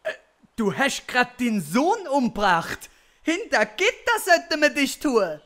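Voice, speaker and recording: A woman plays a commanding medieval noble in a Swiss German audio comedy. The voice is piercingly high and swoops with self-important authority. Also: big pitch swings, unhurried, fairly bright tone, heavily acted. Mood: outraged. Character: imperious, shrill member of a medieval ruler's household. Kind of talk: comic audio drama acting.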